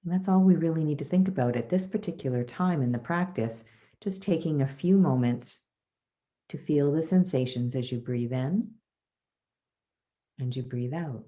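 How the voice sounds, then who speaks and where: A woman leading a guided body scan meditation as a teacher, soothing and measured, with pauses between phrases. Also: sits low, dark tone.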